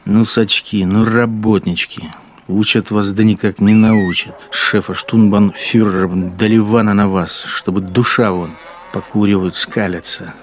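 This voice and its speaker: An actor playing a crude, grumbling village collaborator in a radio drama, talking in folksy dialect-sprinkled phrases. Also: male voice, fairly low pitch, steady tone, measured pace, lightly acted. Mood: scornful grumbling. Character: grumbling crude village collaborator policeman, a punitive squad man.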